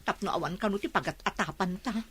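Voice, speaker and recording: An actress playing a regretful, fretful wife in a radio drama, plaintive, with a husky voice. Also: female voice, mid-range voice, fast, fairly bright tone. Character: regretful, fretful young wife complaining to her mother.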